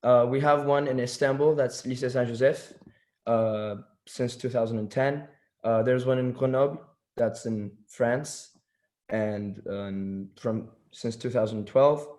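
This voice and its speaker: A man's voice: medium pitch, steady tone, unhurried, darkish tone. A seventeen-year-old boy from Turkey giving an online workshop presentation, hesitant, with many fillers and restarts. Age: teens.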